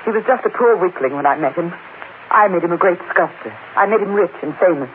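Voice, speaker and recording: An actress playing a proud, possessive wronged wife in 1940s radio drama style, dramatic and resolute. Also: female voice, lowish voice, expressive, fast, natural voice. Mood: bitter. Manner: dramatic, theatrical. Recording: scratchy, tinny old radio broadcast.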